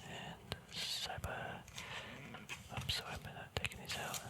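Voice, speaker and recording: A man speaking in a soft, breathy whisper, chatting casually and informally in a relaxed ASMR style as he handles cards. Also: a little slow. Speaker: ASMR card game vlogger.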